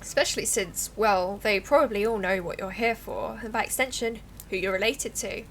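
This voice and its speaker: A woman's voice: mid-range voice, moderate pace, a bit bright, slight background noise, close to an everyday voice, rough recording. A woman voicing a friendly, talkative small-town local in a visual novel, with a folksy manner. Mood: friendly, chatty. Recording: music playing behind the voice.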